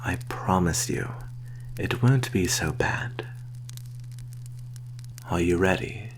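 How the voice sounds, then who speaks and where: A man playing a sly, menacing vampire in an ASMR roleplay, speaking in a soft, breathy half-whisper with slow, formal phrasing. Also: deep voice, poor audio. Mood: soothing. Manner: slow, deliberate, formal wording. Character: predatory male vampire, sly, coaxing and quietly menacing. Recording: clear background hum and noise.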